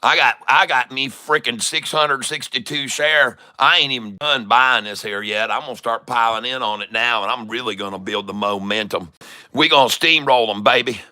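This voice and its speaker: A man with a very bright, sharp voice and a thick Southern US drawl. He plays up the folksy country-boy talk, boasting and cussing casually like a livestream host hyping up his followers. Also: medium pitch, steady tone, moderate pace, hardly any pauses, clean tone. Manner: chuckles often, swears casually. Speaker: livestream stock trading host. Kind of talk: casual livestream chat, hype trading commentary.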